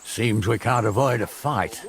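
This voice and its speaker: A man's voice: lowish voice, unhurried. An actor playing a haughty medieval lord leading his men in a video game, imperious and dryly sardonic. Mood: dry, commanding. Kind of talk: video game cutscene acting.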